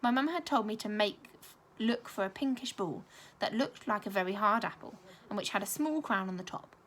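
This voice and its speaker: A woman reading a children's story aloud like a primary school teacher, expressive and clearly paced. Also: mid-range voice, normal speed, a little hiss or hum.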